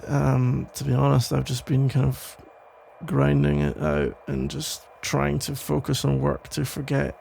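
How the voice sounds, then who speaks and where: Guy doing a soft-hearted police officer in an asmr roleplay, super fry-heavy average-pitched voice, rambly and hesitant, protective. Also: monotone, slight background noise. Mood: wistful, self-deprecating. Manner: hesitant, halting, rambling with sighs and soft chuckles. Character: protective, tender male police officer still in love. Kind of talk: ASMR roleplay, intimate one-sided conversation.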